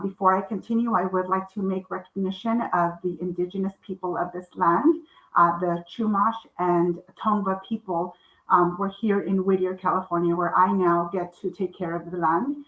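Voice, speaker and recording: A middle-aged woman whose first language is Spanish, a children's book author speaking in an earnest, measured way at a library talk over a video call. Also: lowish voice, roomy sound, narrow, tinny audio.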